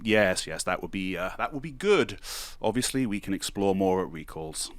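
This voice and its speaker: A man playing an affable, easily flustered producer at a casting, hedging and halting as he explains, in naturalistic audio drama. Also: low-pitched, animated, no echo.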